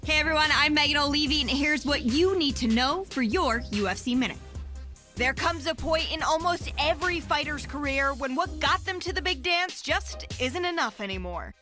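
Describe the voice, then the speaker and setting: A woman's voice: high voice, animated, moderate pace. A woman presenting a scripted sports news update. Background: faint music bed.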